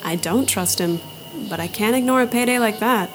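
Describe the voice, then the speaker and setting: A woman's voice: mid-range voice, moderate pace, close to an everyday voice. A woman playing a tough, sardonic space adventurer in an audio drama, with a wry, confident delivery. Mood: insistent. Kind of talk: audio drama character acting. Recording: music playing behind the voice.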